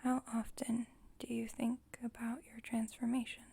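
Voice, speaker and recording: Whispering, breathy female vampire coven leader, acted by a woman. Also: fairly high pitch, completely flat delivery. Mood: gentle, reassuring. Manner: gentle, measured, formal. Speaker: ASMR roleplay voice performer. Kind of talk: whispered ASMR roleplay.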